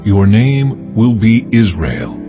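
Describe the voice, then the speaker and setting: Slow, deep, commanding God. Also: male voice, very animated. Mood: solemn, commanding. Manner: slow, weighty, deliberate. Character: God Almighty, solemn and commanding male divine voice. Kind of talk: dramatized audio Bible acting. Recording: dull, muffled audio under music. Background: music.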